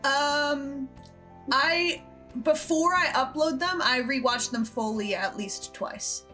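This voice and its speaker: A woman's voice: high voice, takes it slowly, bright, forceful tone. A woman speaking as a show's creator and host in a casual group chat. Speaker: show creator, editor and host. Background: background music.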